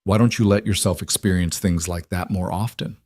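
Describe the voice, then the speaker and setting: Man playing an intense, low-voiced mafia boss. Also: steady tone, a little fast, a little mellow, no echo, clean studio sound. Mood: earnest. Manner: direct, earnest, probing. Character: intense, socially awkward mafia boss, direct and earnest.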